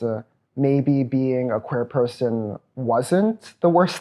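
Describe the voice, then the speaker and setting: A man's voice: mid-range voice, darkish tone. A man in his thirties from Trinidad and Tobago, a programs director giving a reflective, earnest personal testimony at a virtual event. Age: 30s.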